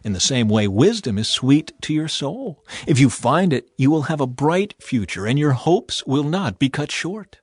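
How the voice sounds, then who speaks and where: A man narrating a dramatized audio Bible in an expressive, measured, solemn and exhortative way. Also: on the high side, breathy edge, no echo. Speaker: scripture narrator. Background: background music.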